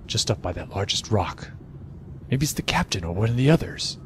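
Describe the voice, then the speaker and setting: A man's voice: lowish voice, sing-song, a little fast, a little hiss or hum. An actor playing a teasing, watchful armed spaceship crewman in an audio drama. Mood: wary.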